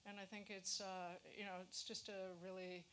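A woman's voice: mid-range voice, level tone, moderate pace, fairly bright tone. A woman giving informal commentary at a live event in an auditorium. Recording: faint background noise, live room.

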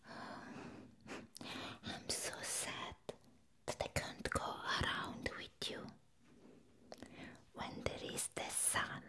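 A woman who is not a native English speaker, voicing a sweet, doting vampire in a breathy whispered ASMR roleplay, repeating tender words softly. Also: lightly acted. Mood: tender, wistful. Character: sweet, doting female vampire, adoring and clingy.

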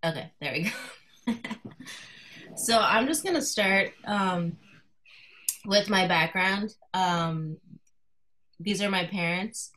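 A woman in her thirties from Colorado, giving an informal artist talk to a class over a video call, soft-spoken and hesitant, with many fillers. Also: medium pitch, takes it slowly, long pauses, a little hiss or hum. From Colorado, USA. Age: 30s. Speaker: guest artist speaking to a class. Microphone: video call computer mic.

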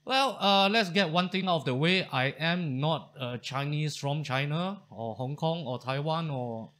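A man. He speaks warmly and loosely, with frequent ums and restarts. He is recorded close up on a studio mic in a home study. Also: a little high, unhurried. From Singapore. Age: 40s. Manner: chatty, thankful, many fillers and restarts. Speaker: history channel host. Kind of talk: casual talk to camera.

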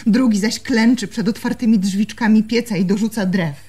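A woman's voice: mid-range voice, normal speed, dark tone, slightly rough, soft background music, natural voice. An actress in a Polish radio play, playing an anxious, haunted young woman in love, with intimate, brooding delivery. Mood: anxious confession.

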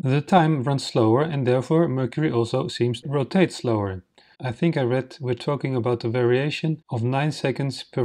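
A man whose native language is Dutch narrates a slideshow lesson, explaining formulas slowly and step by step. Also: mid-range voice, dark tone. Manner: slow, methodical, explains step by step. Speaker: science channel host.

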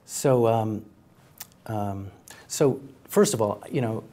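A middle-aged man giving economic analysis as a studio guest, speaking haltingly and repeating words as he goes. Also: on the low side, dark tone. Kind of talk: panel interview analysis. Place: TV news studio. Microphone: lapel mic.

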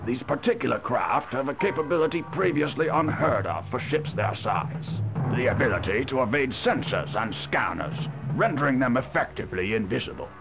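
An actor playing a grave, commanding rebel officer who delivers a measured, formal mission briefing in a video game. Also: male voice, medium pitch, lively intonation, gravelly, rough recording. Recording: music behind, 1990s game audio.

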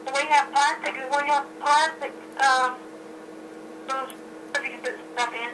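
A woman's voice: high-pitched, fairly even intonation, measured pace. A woman working as a store clerk, answering a customer's call over a telephone. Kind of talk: customer service phone call. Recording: distant noisy speakerphone line.

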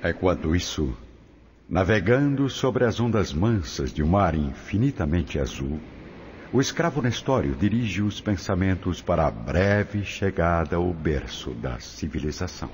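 A man's voice: low voice, very animated, a little slow, a little mellow, a little hiss or hum. A man with a Brazilian accent giving dramatic scripted narration.

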